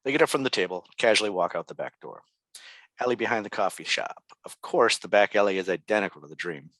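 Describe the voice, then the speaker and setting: A man's voice: fairly low pitch, lively intonation, quick pace, a bit bright, dry recording. A man reading stage directions as scripted narration at a table read.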